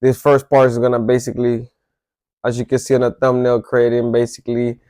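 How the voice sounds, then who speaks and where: A man's voice: mid-range voice, a little mellow, no echo. A man from El Salvador, telling his life story to his viewers in a loose, conversational way with false starts and self-corrections. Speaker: vlogger. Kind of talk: casual storytelling to camera.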